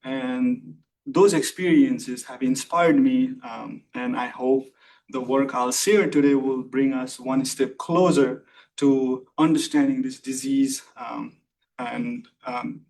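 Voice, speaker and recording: A young man in his twenties from Nepal, giving a formal thesis defense talk in an earnest, measured way with many fillers. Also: mid-range voice, steady tone, darkish tone, distant mic, slight background noise, poor audio. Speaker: PhD candidate presenting thesis defense. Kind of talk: formal academic presentation.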